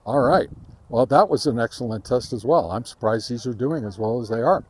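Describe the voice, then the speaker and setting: A man giving a casual, halting field-test commentary as host of a gear review, speaking outdoors. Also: mid-range voice, lively intonation, a little mellow, slightly rough. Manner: halting, hesitant delivery. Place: outdoors in a park.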